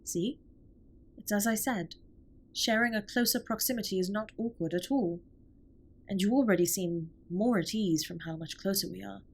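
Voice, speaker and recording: Alien starship captain, female performer: mid-pitched, breathy husk, formal and measured, earnest and caring, for intimate audio drama. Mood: gentle, attentive. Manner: formal, measured, precise wording with few contractions. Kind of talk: scripted roleplay audio drama, intimate comfort monologue.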